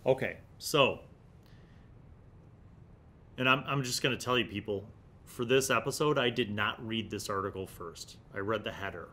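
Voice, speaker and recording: A man's voice: lowish voice, moderate pace, long pauses, sharp tone. A man hosts a gaming review series from an indoor studio at a desktop microphone, reading articles aloud and adding emphatic, conversational commentary. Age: 40s.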